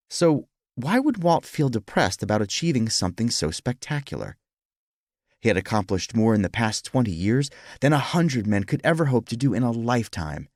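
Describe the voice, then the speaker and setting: Male audiobook narrator for historical fiction, lower register, scripted read, very dry sound. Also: no echo.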